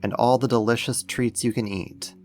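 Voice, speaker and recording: A man playing a gentle, doting alien-like being in a soft-spoken ASMR roleplay, coaxing and soothing as if to a pet, tender and a little condescending. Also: mid-range voice, steady tone, normal speed, faint music behind, close to an everyday voice.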